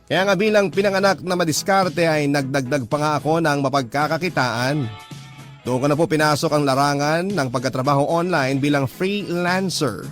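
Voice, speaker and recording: A man's voice: on the high side, moderate pace, faint music behind, lightly acted. A man playing an earnest, hardworking storyteller who narrates his own life politely and reflectively in a radio drama. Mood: earnest, proud.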